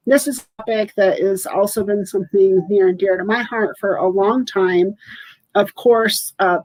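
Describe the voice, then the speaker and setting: A woman's voice: medium pitch, no room sound, rough recording. A woman in her forties hosting a live political roundtable from home over a video call, chatty and upbeat with many fillers. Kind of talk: live webcast chat. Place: home room with shelves behind. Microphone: webcam on a video call.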